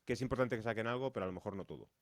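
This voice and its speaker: Male host, slightly deep voice, casual discussion, very dry studio sound. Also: racing, no room sound. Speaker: podcast host.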